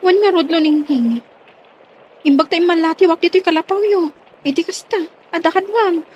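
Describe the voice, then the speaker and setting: A woman's voice: very high voice, normal speed, darkish tone, highs cut off, slight background noise. A woman playing a concerned companion to a worried friend, in a radio drama with an Ilocano accent.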